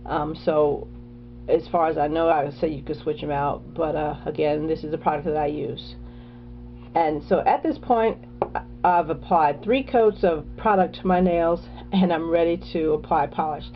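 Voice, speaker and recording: Woman, low voice, chatty product review, fillers and restarts, slightly dull lo-fi sound, faint music behind. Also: moderate pace. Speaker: beauty vlogger.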